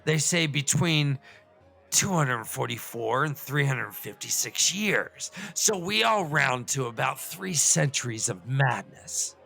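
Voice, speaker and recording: A man playing an excitable puppet lecturer who explains fantasy lore in a rambling, professorial way, with digressions and stammers. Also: mid-range voice, slow pace, creaky, faint music behind, exaggerated voice. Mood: earnest, rambling. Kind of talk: semi-scripted comic puppet-show lecture.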